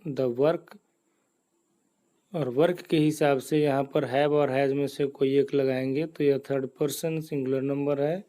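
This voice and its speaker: A man's voice: mid-range voice, warm tone. A man with an Indian accent teaching grammar step by step in a methodical, explanatory manner. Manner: methodical, explanatory, poses questions and answers them. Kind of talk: step-by-step lesson in Hindi with English examples.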